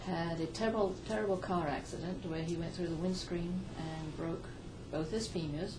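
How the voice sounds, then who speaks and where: A woman's voice: lowish voice, fairly even intonation, moderate pace, music in the background. Gracious older woman. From Zimbabwe. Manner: gracious, laughs easily. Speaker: interview guest. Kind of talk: casual radio interview. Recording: distant mic with noticeable noise.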